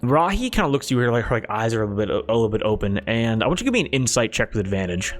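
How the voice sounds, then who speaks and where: Game master narrating over background music. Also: male voice, medium pitch, big pitch swings, rapid-fire. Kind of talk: improvised tabletop game narration.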